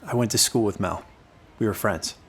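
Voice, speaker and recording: A man's voice: on the low side, moderate pace, fairly bright tone, husky. A man playing an evasive informant in his thirties in an audio drama, with a guarded, clipped, needling way of talking. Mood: reluctant, evasive. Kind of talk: naturalistic audio drama dialogue.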